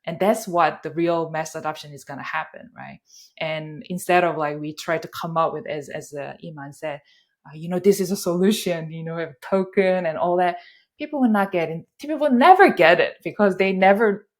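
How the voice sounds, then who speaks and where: A woman's voice: on the low side, normal speed. A woman guest on a conversational podcast, speaking earnestly and searching for words with many fillers.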